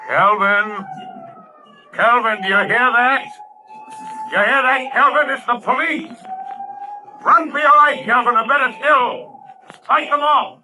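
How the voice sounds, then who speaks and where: An actor playing a mysterious, commanding crime-fighting avenger in an intense, emphatic 1930s radio drama style. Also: male voice, very high-pitched, takes it slowly, pauses often, noisy background. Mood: goading. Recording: vintage 1930s radio broadcast.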